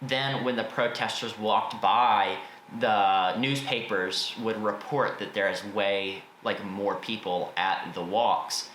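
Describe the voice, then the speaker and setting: A man's voice: on the low side, fairly even intonation, takes it slowly, fairly bright tone. A man giving a casual, informal spoken book review, blunt with his opinions but often hedging them. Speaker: book reviewer and video host. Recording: echoey room with faint background noise.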